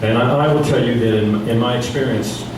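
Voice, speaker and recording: Lawyer asking a question from the floor. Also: male voice, medium pitch, steady tone, brisk. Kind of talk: audience question. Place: community meeting hall. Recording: echoey room from far off, noisy.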